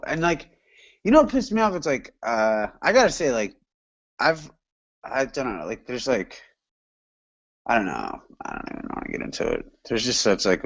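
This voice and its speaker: A man's voice: fairly low pitch, creaky, no room sound, highs cut off. A man chatting casually as a panelist in an online hangout, rambling and profane, with lots of fillers. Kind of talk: casual chat.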